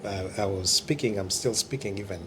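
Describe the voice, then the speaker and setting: A man from the Democratic Republic of Congo, a pastor answering interview questions in a hesitant way with many pauses. His is Kiluba. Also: deep voice, a crowd in the background. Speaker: pastor, church regional staff.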